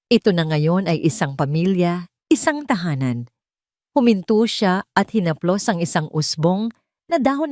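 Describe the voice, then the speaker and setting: A Filipino woman narrates a dramatic story in a fairly low voice. Her delivery is measured and scripted, pausing for weight, and the recording is dry, with little room sound. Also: darkish tone, dry recording.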